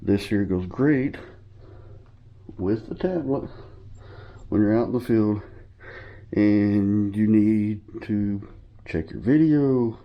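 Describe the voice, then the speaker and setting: Deep-voiced sniffly man explaining his gear. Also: slow pace, long pauses, lo-fi sound. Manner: rambling, informal, with fillers and self-corrections. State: sniffly from a cold. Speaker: hobbyist showing his gear. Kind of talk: casual show-and-tell tutorial.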